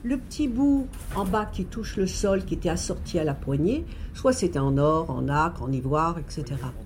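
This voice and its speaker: A woman's voice: low-pitched, expressive, brisk, a little mellow, slight background noise. A woman giving spontaneous explanations as an interviewed expert.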